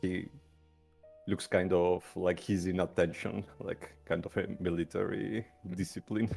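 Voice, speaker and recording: A man playing a tabletop roleplaying game, casually improvising descriptions in a hesitant, halting way. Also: sits low, level tone, gravelly, a little mellow. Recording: background music under voice.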